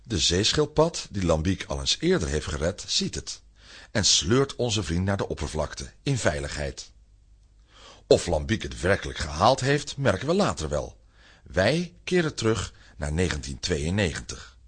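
A man's voice: sits low, very animated, a little slow, a bit bright, slightly rough. A man narrating a children's radio play in a scripted, dramatic storytelling style.

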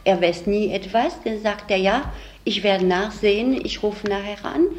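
A woman's voice: mid-range voice, unhurried, a little mellow, slight background noise. A woman, a saleswoman, giving blunt opinions in an interview. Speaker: department store saleswoman.

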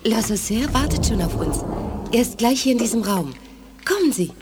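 A polite, businesslike aide with telepathic gifts in a science fiction radio play. Her voice is lively in intonation, with clear noise behind it. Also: on the high side, moderate pace. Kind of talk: German audio drama acting.

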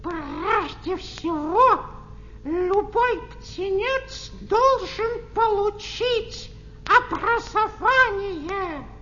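An actor voicing a pompous, boastful parrot who lectures in a drawn-out, self-important way in a children's audio play. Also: extremely high pitch, expressive, pushed and bright, dull audio, slight background noise, full character voice. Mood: pompous, lecturing. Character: pompous, boastful parrot, wise know-it-all of the forest.

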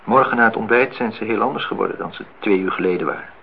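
Reflective disillusioned man, lowish voice, measured phrasing, radio drama, muffled vintage recording. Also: creaky, breathy edge. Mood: somber, musing. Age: 30s. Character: disillusioned, reflective man musing on truth and love. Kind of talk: Dutch radio play acting. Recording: dull, noisy old radio play recording.

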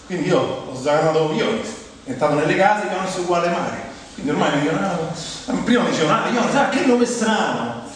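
A man's voice: fairly high pitch, expressive, fairly quick. Roman man performing in a big echoey hall. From Rome, Italy. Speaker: stage monologue performer. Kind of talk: comic autobiographical theatre monologue. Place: live stage performance in a theatre. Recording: echoey theatre hall, distant mic, noisy.

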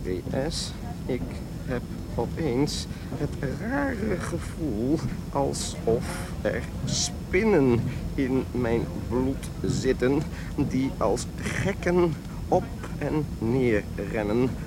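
A man playing a grumpy, uneasy character dictating a travel diary in a radio drama, halting and deadpan. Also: mid-range voice, very noisy.

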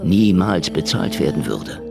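Broke cynical soviet private eye in a radio play, deep-ish voice moving around a lot, music under it. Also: male voice, big pitch swings, unhurried, creaky, full character voice. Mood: bitter, wry. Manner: sardonic, world-weary, dry wit. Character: cynical, broke, hard-drinking Moscow private detective, male. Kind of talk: noir radio drama acting with narration.

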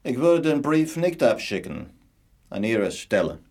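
An actor in a German radio drama playing a threatening, suspicious foreign correspondent who needles and probes sardonically. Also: male voice, medium pitch, very animated, unhurried, vocal fry, a little mellow, slightly rough, exaggerated voice. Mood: coolly threatening.

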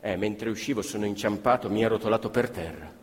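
An actor playing a poor, scrappy boy on stage, lively and mocking. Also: fairly low pitch, fast talker, voice pushed down, natural voice. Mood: boastful. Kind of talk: stage theatre acting. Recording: live stage recording in a reverberant theatre.

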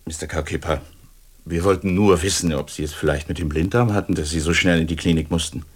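An actor playing a smooth-talking secret agent in a German radio drama, polite and insinuating with veiled menace. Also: male voice, sits low, fairly even intonation, brisk.

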